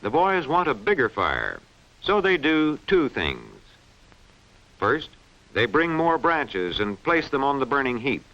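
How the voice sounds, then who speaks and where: Male narrator, for a school science film: forceful, lively intonation, precise and instructive, on a dull old soundtrack. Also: medium pitch, measured pace. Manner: clearly enunciated, didactic delivery. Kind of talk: scripted educational film narration. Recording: dull, band-limited vintage film soundtrack.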